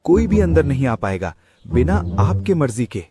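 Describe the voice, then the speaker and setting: A man's voice: medium pitch, sing-song, normal speed, over loud music, close to an everyday voice. A man voicing a meek, submissive jungle animal in a children's cartoon story. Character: meek, pleading male jungle animal underling. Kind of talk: animated children's fable acting.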